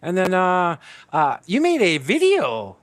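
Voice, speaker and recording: High-voiced, hyped man hosting a podcast. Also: huge pitch swings, measured pace, no room sound. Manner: hyped, plugging his guest, many fillers. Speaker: podcast host. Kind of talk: casual podcast chat.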